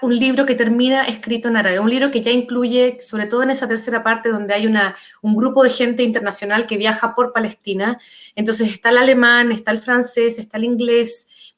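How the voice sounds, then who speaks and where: A woman's voice: a little high, fairly bright tone. A Chilean woman speaking as an author on a panel, thoughtful and searching, building her ideas through paired and repeated words. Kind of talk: reflective panel discussion.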